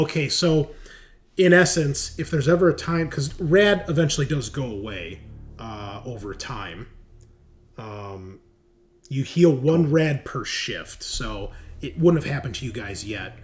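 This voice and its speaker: A man's voice: medium pitch, expressive, a little slow. A man acting as game master, talking casually through rules and narrating scenes in an informal, teasing way. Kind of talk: running a tabletop roleplaying game, casual rules talk and narration.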